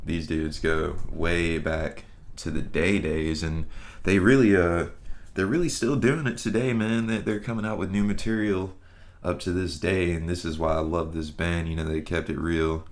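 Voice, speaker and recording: Deep-voiced rambling young man. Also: steady tone, moderate pace. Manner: rambling, loose, swears casually. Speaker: podcast host. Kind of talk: casual solo podcast chat.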